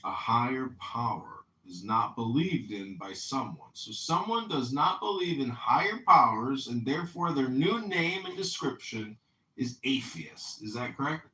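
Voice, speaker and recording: A man hosts a call-in podcast in a mocking, lecturing tone and drags out each question. His voice is bright and forceful. It sounds distant and roomy, as if the mic sits well away from him. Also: medium pitch, lively intonation. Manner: lecturing, sarcastic, drawn-out questioning. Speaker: podcast host.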